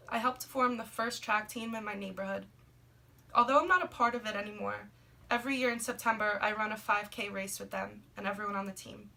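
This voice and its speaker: A woman's voice: fairly high pitch, bright, forceful tone. A twenty-year-old woman from Brooklyn, reading a prepared class presentation about herself. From Brooklyn, New York. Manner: reads a prepared script steadily, listing items. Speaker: student presenting a class assignment. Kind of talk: scripted personal presentation. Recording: noisy, somewhat distant recording.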